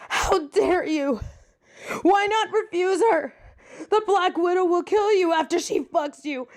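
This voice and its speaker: Woman playing a passionate catgirl thief, slow and mellow. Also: sits high. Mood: outraged, hurt. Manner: dramatic, emotive, addresses one listener directly. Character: flirty grown catgirl thief, proud, passionate, pleading. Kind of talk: scripted intimate audio roleplay.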